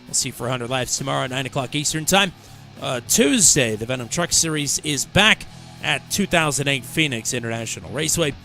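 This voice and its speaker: Upbeat commentator, voice going hoarse. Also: male voice, mid-range voice, lively intonation, brisk, pushed and bright, soft background music. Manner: upbeat, rattles off schedule. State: sick, losing his voice. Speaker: race commentator host. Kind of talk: sim racing broadcast commentary.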